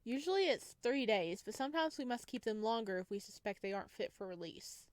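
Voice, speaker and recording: A woman's voice: on the high side, expressive, brisk, no room sound, lightly acted. A woman voicing a friendly, efficient nurse in a visual novel, polite and businesslike. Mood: brisk, informative. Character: friendly, efficient female resident nurse on psych ward.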